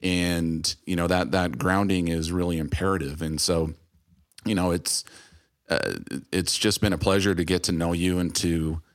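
A man's voice: sits low, steady tone, normal speed, dry recording, studio quality. A middle-aged American man hosting a podcast, chatting casually and telling personal anecdotes. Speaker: podcast host. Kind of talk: casual chat.

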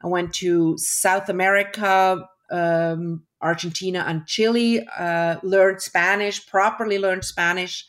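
A middle-aged woman with a lowish voice, unhurried, talking as an interview guest over a video call. She is reflective and restarts her sentences often, circling back before she lands a thought. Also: fairly even intonation. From Switzerland. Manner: reflective, with frequent restarts and fillers. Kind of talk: casual interview conversation.

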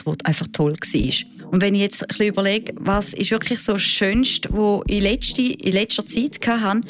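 Swiss german lady, dive instructor, chatty and lively, lots of ums, voice sits in the middle. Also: mid-range voice. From Switzerland. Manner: lively, chatty storytelling with frequent fillers. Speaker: diving instructor. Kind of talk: interview answers.

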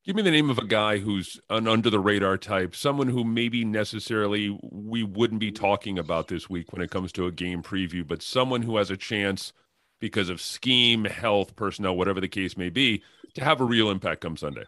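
A man with a fairly low voice hosts a sports podcast. He sets up football questions in measured, complete phrases, recorded dry with hardly any room sound. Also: a bit bright, dry recording. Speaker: sports podcast host and interviewer.

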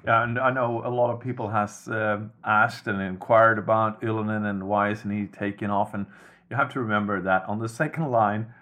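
A man hosting a casual podcast chat about hockey, talking things through at an even, measured pace. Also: on the low side. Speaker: podcast host.

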